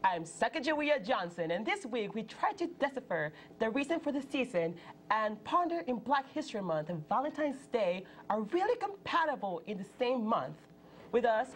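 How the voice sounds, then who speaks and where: A young woman playing a deadpan spoof news anchor. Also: on the high side, moderate pace, slight background noise. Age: young adult. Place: student TV studio set.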